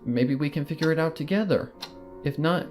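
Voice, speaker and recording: A man voicing a calm young female unicorn scholar, speaking in a gentle, soothing way. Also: fairly high pitch, fairly quick, soft background music, natural voice. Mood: reassuring.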